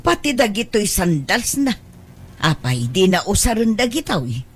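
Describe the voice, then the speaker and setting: A woman's voice: medium pitch, big pitch swings, a little slow, breathy edge. A woman playing a fretful, thrifty mother of a grown daughter in an audio drama, scolding as she goes. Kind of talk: Ilocano audio drama dialogue.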